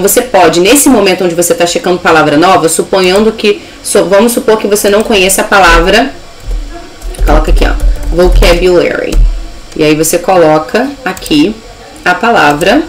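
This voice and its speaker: A woman's voice: on the low side, poor audio. Young Brazilian woman teaching English. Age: young adult. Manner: instructive, enunciates English examples. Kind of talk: informal video lesson.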